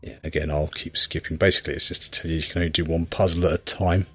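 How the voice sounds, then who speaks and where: A man's voice: extremely low pitch, fairly even intonation, quick pace, fairly bright tone, slight background noise. A man giving casual gameplay commentary as a reviewer, often hesitant and thinking aloud. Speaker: video game reviewer.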